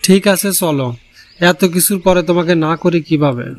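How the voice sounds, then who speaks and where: A man's voice: high-pitched, normal speed, heavy background noise, natural voice. A man voicing a polite, reluctant guest in a cartoon story, speaking in a demurring way. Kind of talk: Bengali cartoon fairy-tale voice acting.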